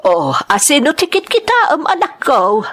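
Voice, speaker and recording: A woman playing a concerned mother who gently questions her grown son in an audio drama. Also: sits high, wildly swooping pitch, moderate pace, exaggerated voice.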